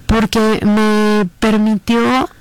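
A woman's voice: medium pitch, little pitch movement, drawn-out words, clean tone, no room sound. A Mexican woman in her fifties, a lawyer and public servant, talking earnestly in a radio interview with many fillers and restarts. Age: 50s. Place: radio booth.

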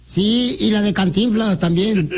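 A man's voice: sits high, unhurried, husky. A man putting on a cheeky, flirty prank caller voice, joking crudely and giving absurd answers on a radio prank call. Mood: teasing.

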